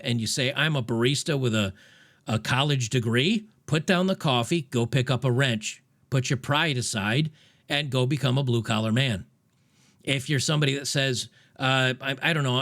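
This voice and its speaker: A man talks through a casual commentary monologue at an ordinary, middle pitch. He is emphatic and rambling, often restarting his sentences as he pushes his point. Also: fairly bright tone. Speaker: podcast host.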